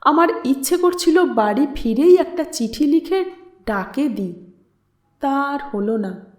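Female story narrator, slow and expressive, measured dramatic reading, roomy echo. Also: high voice. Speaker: audiobook narrator and channel host.

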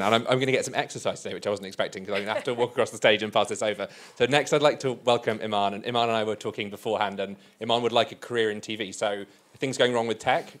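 A middle-aged man moderates a live seminar panel, talking fast in a lowish voice. He is upbeat and chatty with fillers, speaking into a handheld microphone. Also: a bit bright. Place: museum event space with a live audience.

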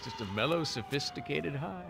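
A man's voice: fairly high pitch, animated, fairly quick, creaky. A man acting in a video game cutscene as a zealous, rambling stoner activist who campaigns for legalization in an earnest, grandiose way. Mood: fervent, persuasive. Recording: game scene with street noise.

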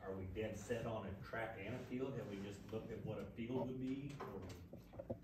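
A man's voice: on the low side, moderate pace. A man at a school board meeting asking about proposed costs. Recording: very echoey room, far from the mic, noise.

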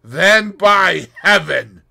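Man doing a frantic high-strung Russian conspirator. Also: huge pitch swings, takes it slowly, vocal fry, full character voice. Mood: menacing. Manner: loud, high, strained pleading and snarling. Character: excitable Russian male conspirator, bitter and agitated.

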